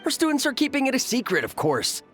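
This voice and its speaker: A man's voice: extremely high pitch, wildly swooping pitch, quick pace, fairly bright tone, exaggerated voice. A man playing a stubborn, defensive young tribesman in an anime game, speaking insistently and indignantly. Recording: background music under the voice.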